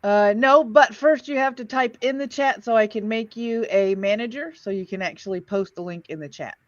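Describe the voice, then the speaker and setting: A woman's voice: on the high side, normal speed, dry recording, highs cut off. A woman casually hosting a livestream in a playful, chatty way. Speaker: host of an old-time radio heckling stream.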